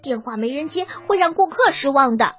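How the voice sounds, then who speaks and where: A performer voicing an excitable young fox who is bossy and easily annoyed, in a children's audio story. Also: high voice, fairly quick, lo-fi sound, faint music behind. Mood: scolding.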